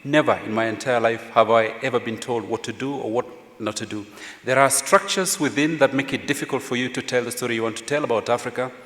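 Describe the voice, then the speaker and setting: A middle-aged man with a Kenyan accent speaks as a panelist. He is measured and hesitant, often repeating words. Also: mid-range voice, steady tone, fairly bright tone. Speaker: panelist, former broadcast editor. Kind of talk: panel discussion remarks. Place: large conference hall. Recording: echoey conference hall with long reverb.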